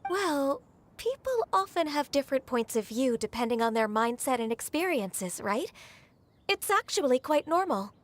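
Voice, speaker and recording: A woman voicing a gentle, reassuring character in a game cutscene. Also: sits high, big pitch swings, normal speed.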